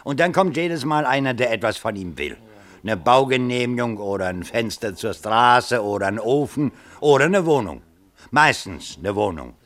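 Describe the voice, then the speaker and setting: An actor playing a chatty, folksy pub landlord in a radio play, knowing, teasing and colloquial. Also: male voice, medium pitch, lively intonation, moderate pace, a bit bright, lightly acted. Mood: gossipy. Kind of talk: 1970s German radio play acting.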